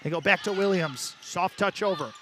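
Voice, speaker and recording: Guy doing play-by-play sports commentary, pretty high voice, some arena noise behind him. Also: normal speed, fairly bright tone. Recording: noisy sports venue.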